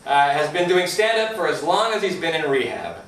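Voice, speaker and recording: Male comedy club emcee played by a man, peppy backhanded showman, high-pitched, distant and noisy. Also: expressive, brisk, natural voice. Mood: peppy, hyped. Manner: showman's hype. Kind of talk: live stage introductions, sketch comedy. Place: live theatre stage with audience. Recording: distant, very echoey, noisy crowded theatre.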